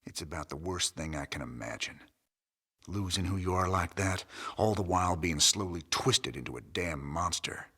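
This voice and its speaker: Deep, husky Southern space-cowboy commander. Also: male voice, animated, moderate pace, pushed and bright, full character voice. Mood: somber. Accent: American Southern. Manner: folksy, plainspoken drawl. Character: weary, big-hearted male rebel commander, middle-aged space cowboy. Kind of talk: video game cutscene acting. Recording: long reverb, music underneath.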